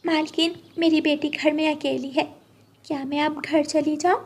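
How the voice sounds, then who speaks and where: A woman voicing a gentle, patient, humble mother bird in a cartoon moral story, soft-spoken and soothing. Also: high voice, little pitch movement, normal speed, pitched above the performer's own voice. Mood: meek, pleading.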